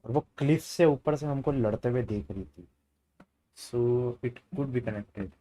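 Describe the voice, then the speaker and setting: Rapid Hindi-English streamer guy. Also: mid-range voice, mellow. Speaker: gaming streamer. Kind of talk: casual gameplay commentary. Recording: stream with game music.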